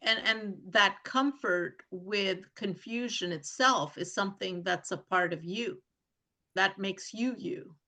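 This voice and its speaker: A woman hosting an interview, asking measured, probing questions. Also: mid-range voice, sharp tone. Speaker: interview host. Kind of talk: interview conversation.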